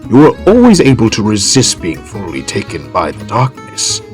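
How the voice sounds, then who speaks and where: A man's voice: on the low side, huge pitch swings, moderate pace, faint music behind. A man playing a learned, grave older professor with a formal, scholarly manner in a fan audio drama. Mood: fascinated.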